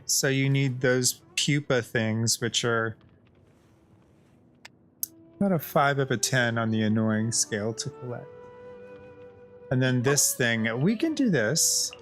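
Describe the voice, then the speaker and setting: A man giving casual, chatty gameplay commentary as a streamer, often addressing the game characters. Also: medium pitch. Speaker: gaming streamer.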